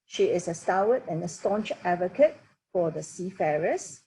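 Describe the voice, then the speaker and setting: A woman from Singapore, a shipping industry leader speaking deliberately on a video-call panel. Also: lowish voice, lively intonation, dark tone, slight background noise, poor audio. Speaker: shipping association president, panelist. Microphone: laptop webcam mic, video call.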